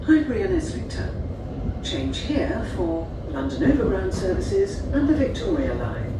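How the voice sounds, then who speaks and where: A woman's voice: medium pitch, wildly swooping pitch, a little slow, recorded from a distance. A woman reading scripted station announcements, heard through a train's PA speaker. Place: inside a train carriage. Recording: very echoey, noisy train PA from afar.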